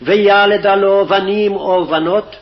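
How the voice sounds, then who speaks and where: A man's voice: high voice, fairly even intonation, rapid-fire. A man formally reciting scripture phrase by phrase, on a dull, lo-fi archival recording. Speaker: scripture reader. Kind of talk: scripted Hebrew Bible reading.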